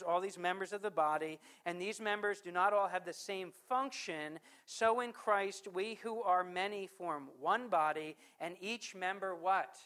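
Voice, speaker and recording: A man preaching a sermon as pastor. He puts questions to the congregation, slows down to stress scripture, and swings from forceful to hushed. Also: high-pitched. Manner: emphatic preaching with rhetorical questions.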